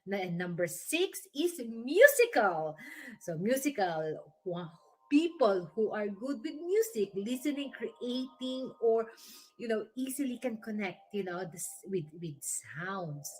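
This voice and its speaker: Slow, lively Filipino woman host over music. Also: medium pitch, low-quality recording. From Philippines. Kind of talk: weekly inspirational talk segment.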